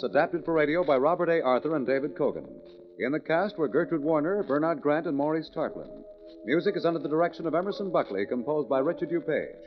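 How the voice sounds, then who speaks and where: A man reading a scripted announcement as a 1940s radio announcer. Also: on the high side. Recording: dull vintage broadcast with music behind.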